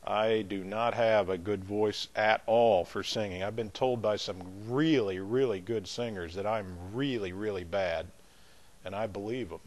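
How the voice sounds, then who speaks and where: A man leading a Bible study as pastor, chatting in a folksy, easygoing way between readings. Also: lowish voice. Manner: folksy, chatty asides with light laughs; measured, emphatic scripture reading.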